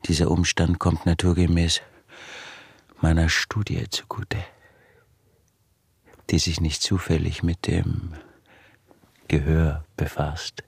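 An actor playing an obsessive recluse in a radio drama monologue, brooding and halting, with a husky, breathy voice that is often close to a whisper. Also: male voice, deep voice, completely flat delivery, dry recording, exaggerated voice. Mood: hushed, intent. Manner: halting, brooding, insistent repetition. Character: obsessive male recluse tormented by his acute hearing.

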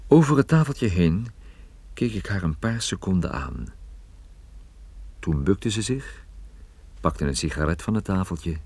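An actor playing a private detective who recounts his case in a measured, observant way, as first-person radio play narration. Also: sits low, a little mellow, no echo, full character voice. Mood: tense, watchful. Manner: measured, descriptive storytelling.

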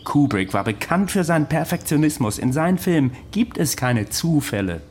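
An actor playing an eccentric, self-assured psychiatric patient who lectures insistently in a radio drama. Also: male voice, a little high, very animated, moderate pace, darkish tone, a little hiss or hum. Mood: insistent, lecturing. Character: eccentric male psychiatric patient, insistent conspiracy storyteller, cocky. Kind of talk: German radio drama acting.

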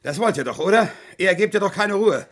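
An actor playing a sly, indignant old murder suspect in a German radio crime drama, agitated and bitter, with a sharp, creaky voice. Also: male voice, high voice, lively intonation, a little fast. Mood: defiant. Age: older. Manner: agitated, spitting, dropping to bitter hisses.

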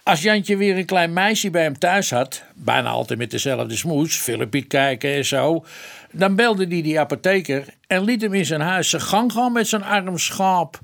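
An actor playing a plain-spoken, respectful police detective in a radio drama, explaining things colloquially. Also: male voice, fairly high pitch, expressive, measured pace, gravelly, fairly bright tone, full character voice. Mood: explaining, knowing.

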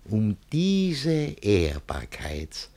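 A pompous gentleman, wildly animated delivery. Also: fairly low pitch, extremely slow, heavily acted. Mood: self-satisfied. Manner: theatrical rhymed verse delivery. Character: pompous, scheming middle-aged gentleman, jovial and domineering. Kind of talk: German radio play of verse comedy.

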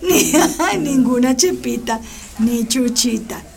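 A woman's voice: fairly high pitch, slow pace, creaky. A woman playing a cheerful, frank schoolteacher who encourages her class in a radio drama. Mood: amused. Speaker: teacher.

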